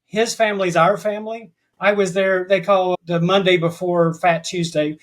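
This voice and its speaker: A man with a fairly high voice chats as a guest on a podcast. He rambles and restarts his sentences as his thoughts catch up. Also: fairly even intonation, normal speed. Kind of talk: casual interview chat.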